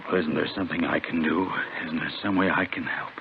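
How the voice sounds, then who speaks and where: A worried helpless dad in an old radio play, low subdued voice, muffled recording. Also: male voice, steady tone, fairly quick, slight background noise. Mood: anxious, helpless. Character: distraught working-class father, helpless and pleading. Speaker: actor in a radio police drama. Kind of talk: old-time radio drama acting.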